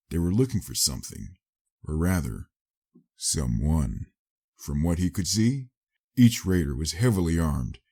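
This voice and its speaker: Deep, husky male story narrator. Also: warm tone. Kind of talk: dramatic scripted story narration.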